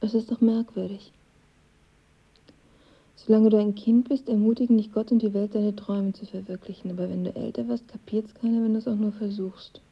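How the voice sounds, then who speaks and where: A guarded, vulnerable young woman in a radio play. Her mid-pitched voice is husky and hushed as she confides, and the recording is a little dull. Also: fairly even intonation, normal speed. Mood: pensive. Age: young adult. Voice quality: breathy, husky. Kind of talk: radio drama acting.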